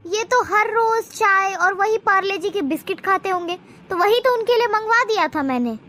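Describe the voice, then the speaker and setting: A woman playing a snobbish middle-aged mother-in-law in an animated moral story, with a condescending, taunting manner. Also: very high-pitched, moderate pace, slight background noise, heavily acted. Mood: scornful.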